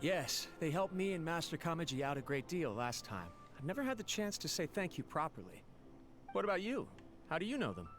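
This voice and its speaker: A man's voice: on the high side, sing-song, fairly quick, a bit bright. An actor playing a friendly, polite and eager young male attendant in an anime video game. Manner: polite, chatty, earnest. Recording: music behind the voice.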